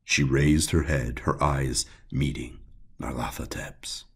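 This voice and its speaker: A man reads dramatic horror fiction in a bottomless, gravel-filled voice. He takes it slowly and gravely, giving the scripted prose a deliberate weight. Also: very deep voice, steady tone. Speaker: audiobook narrator.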